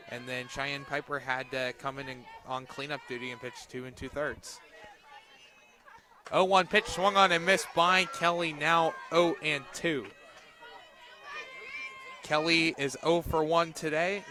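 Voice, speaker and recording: A man doing live play-by-play commentary of a softball game for radio, outdoors at a ballfield. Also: a little high.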